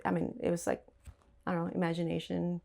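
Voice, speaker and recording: A woman's voice: fairly low pitch, completely flat delivery, quick pace, vocal fry, dark tone. A woman being interviewed as a wine bar owner, speaking conversationally and stressing points through repetition. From Puerto Rico.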